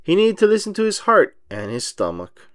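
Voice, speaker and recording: Animated higher-pitched man reading a storybook. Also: normal speed, no echo, studio quality. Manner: expressive, acts out dialogue. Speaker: storybook reader. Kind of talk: read-aloud children's story narration.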